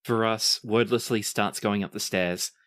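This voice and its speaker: A man narrating a tabletop roleplaying game as game master, in a measured, deliberate storytelling manner. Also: on the low side, fairly even intonation, a bit bright.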